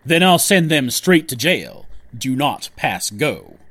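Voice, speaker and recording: A man's voice: medium pitch, big pitch swings, slow, a bit bright, slightly rough, no echo, full character voice. An actor playing a scheming, commanding male handler with a wry delivery in an audio drama. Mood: commanding, sardonic.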